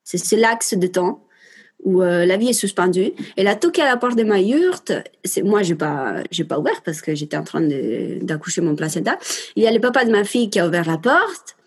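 A woman's voice: mid-range voice, sing-song, brisk, slightly rough. A woman in her twenties with an Italian accent, passionately and emphatically telling listeners about giving birth and speaking to them directly. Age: 20s. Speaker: mother sharing her home birth story. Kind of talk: casual spoken monologue.

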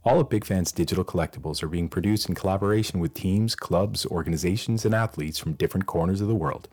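A man's voice: sits low, fast talker, a little mellow. A man hosting an interview podcast, moving between scripted introduction and questions to guests. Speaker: podcast host.

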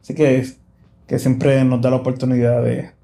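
A man's voice: mid-range voice, flat delivery, moderate pace, gravelly, warm tone. A man hosting a casual podcast from a church live room, chatting in a rambling way with many fillers and restarts. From South Texas. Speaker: podcast host.

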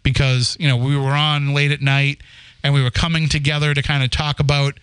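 A man in his forties, from the Boston area, chats about his show as a host. He tells it as a rambling story with plenty of restarts. His voice is smooth and clear, a touch high for a man, in a dry, close recording. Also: little pitch movement, fairly quick, fairly bright tone, dry recording. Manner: storytelling, chatty with frequent restarts and laughs. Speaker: podcast host. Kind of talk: casual chat.

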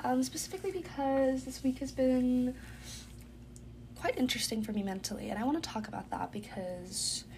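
A woman's voice: high-pitched, moderate pace, creaky, darkish tone, slight background noise. A young woman hosting her own podcast in casual, rambling chat, with a congested, phlegmy-sounding voice. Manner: rambling, filler-heavy, chatty. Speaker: podcast host.

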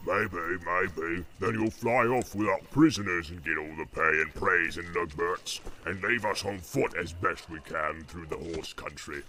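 Brutish orc captain, deep slow growl, gravelly and barking, as a male reader puts him on. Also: bright, forceful tone, slight background noise, heavily acted. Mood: boastful. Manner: barking, domineering.

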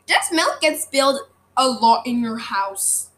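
A woman voicing a calm, kindly male teacher in a children's story. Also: on the high side, takes it slowly. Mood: gentle.

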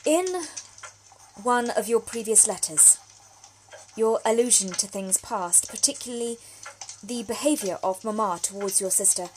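A woman's voice: medium pitch, a little slow, darkish tone, slight background noise, lightly acted, rough recording. A woman playing a genteel, frank young Victorian letter writer in an audio drama monologue, speaking with formal, precise diction. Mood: confiding. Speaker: actor reading a solo audio drama. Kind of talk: period monologue, letters read aloud.

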